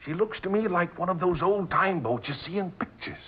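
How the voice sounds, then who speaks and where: An actor playing an earnest, excitable sailor, respectful and hesitant with folksy seaman's talk, in an old-time radio drama. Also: high-pitched, a little fast, husky. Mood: nervous, deferential. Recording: dull old radio recording with hiss.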